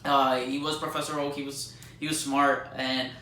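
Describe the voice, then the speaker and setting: A man giving a casual movie review as a vlogger, talking like an excited fan with plenty of slang. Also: mid-range voice, normal speed. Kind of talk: casual spoiler-filled movie review chat. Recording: echoey room, distant mic, faint noise.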